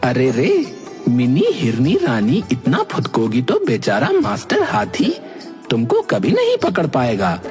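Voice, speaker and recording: An actor as a wildly animated, slow-talking cartoon forest critter. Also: medium pitch, faint music behind. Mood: teasing, playful. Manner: exaggerated, expressive, drawn-out delivery. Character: Bholu, playful dramatic talking forest animal, male. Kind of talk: Hindi cartoon character dialogue.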